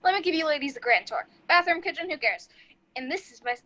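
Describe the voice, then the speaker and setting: An earnest, geeky young party host, read by a woman in a high, bright voice. It is an amateur table read of a sitcom script. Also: animated, quick pace. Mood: proud.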